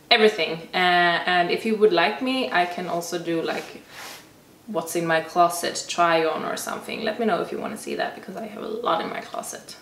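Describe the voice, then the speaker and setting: A woman's voice: on the low side, a bit bright, reverberant, distant mic, a little hiss or hum. A young woman from Sweden speaking English with a Swedish accent, casually answering viewer questions in a chatty way with lots of "like". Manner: chatty, frequent "like" fillers, self-restarts. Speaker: fitness vlogger. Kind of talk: casual Q&A talk.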